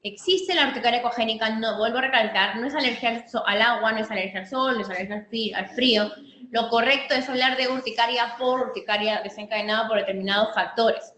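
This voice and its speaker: A woman with a Peruvian Spanish accent teaches a medical class in a room that rings with echo. Her voice sits a little high and sounds sharp and bright. She explains step by step, often stopping to restart a phrase. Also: quick pace. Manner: explanatory, many restarts and fillers. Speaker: physician lecturer. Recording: echoey room with faint noise.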